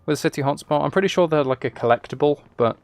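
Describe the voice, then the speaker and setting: A man's voice: fairly high pitch, fast talker, clean tone, no room sound. A man streaming a video game, giving casual commentary with dry, flippant, rambling asides.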